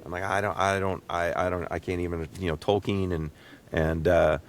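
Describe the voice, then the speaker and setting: A middle-aged man hosting a casual interview, often halting and repeating words as he gathers his thoughts. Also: little pitch movement. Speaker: podcast host and interviewer. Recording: low rumbling background noise.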